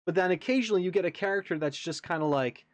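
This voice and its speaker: A man's voice: high-pitched, quick pace, no echo. Casual man with a dry, close-miked sound. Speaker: reaction video host. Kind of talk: casual reaction commentary.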